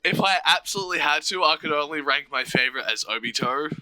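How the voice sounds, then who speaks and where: A man's voice: a little high, fast talker, bright, forceful tone, dry recording, rough recording. A man who does voice acting, chatting casually as an interview guest. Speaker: interview guest, amateur voice actor.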